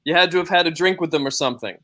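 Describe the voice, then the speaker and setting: A man co-hosting a comedy livestream, using deadpan bits and mock indignation over a video call. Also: a little high, rapid-fire. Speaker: co-host.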